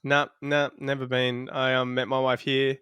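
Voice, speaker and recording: A man's voice: medium pitch, normal speed, clean tone, dry recording. A man in his thirties from Australia, an English teacher chatting casually as a podcast guest, laughing often while he tells stories. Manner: laughs often, relaxed storytelling. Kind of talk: casual chat.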